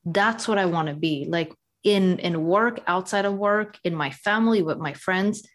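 A woman from Sweden, a podcast guest, telling her own story in a reflective, measured way. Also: on the low side. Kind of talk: conversational interview.

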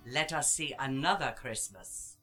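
A spirit guide in a theatrical radio drama, wise and calmly reproving. The low, bright voice is measured and formal, with expressive movement in pitch. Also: female voice, soft background music, heavily acted. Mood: solemn. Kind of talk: theatrical radio play acting.

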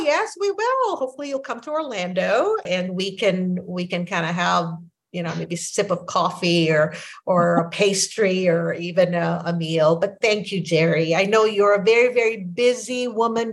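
A woman in her sixties with a Filipino accent, whose first language is Filipino, hosting a podcast and telling her story in a reflective, unhurried way. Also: mid-range voice. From Philippines. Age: 60s. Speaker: podcast host. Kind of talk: casual conversation.

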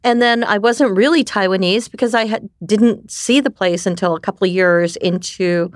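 A middle-aged woman from New York, whose first language was Japanese, telling her life story in a candid, animated way and often tagging on "right?". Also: mid-range voice, moderate pace, no echo, clean studio sound. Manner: animated, frequent restarts and "right?" tags. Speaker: podcast guest.

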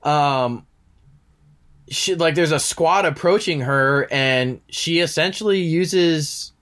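A man's voice: a little high, takes it slowly, a bit bright. A man with a stuffy nose, co-hosting a podcast and recounting scenes in long rambling run-on stretches. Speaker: podcast co-host. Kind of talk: casual recap chat.

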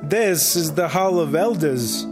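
Casting: dignified marsupial cult elder for comedy audio drama; measured, formal delivery, higher-set voice. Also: male voice, animated. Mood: solemn, proud. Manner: formal, ceremonious, pompous. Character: solemn male possum elder of an underground sect. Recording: music behind the voice.

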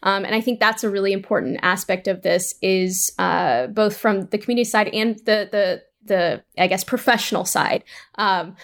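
A woman speaking casually as an interview guest, halting and repeating words as she works toward her point. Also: mid-range voice. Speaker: indie audio drama creator, interview guest. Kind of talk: casual unscripted interview talk.

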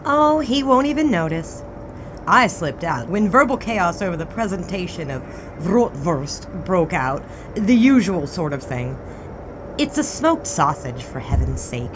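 A woman's voice: fairly low pitch, very animated, a little slow, noisy background. An actress playing a breezy, exasperated young society woman of the 1920s in a period audio drama. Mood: amused exasperation.

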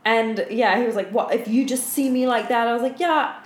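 A woman's voice: fairly high pitch, fairly quick. A tired woman co-hosting a podcast, chatting and telling stories casually in a playful, giggly way, with some swearing. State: tired after a night without sleep. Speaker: podcast co-host. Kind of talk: casual chat and storytelling between friends. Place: indoor room at home. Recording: echoey room.

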